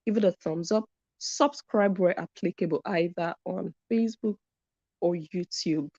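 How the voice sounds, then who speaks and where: A woman's voice: fairly low pitch, a little slow, warm tone, no echo. A woman hosting a live commentary stream, reading viewer comments and stressing her points by repeating them. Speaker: commentary channel host. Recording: dull lo-fi sound.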